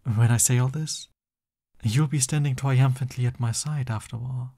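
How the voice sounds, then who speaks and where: A man playing a soft-voiced, lovestruck villain boyfriend in an intimate ASMR roleplay, bashful and tender as he talks. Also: medium pitch, steady tone, normal speed. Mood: playful, affectionate. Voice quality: soft, breathy. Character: lovestruck, flustered supervillain boyfriend, soft and doting.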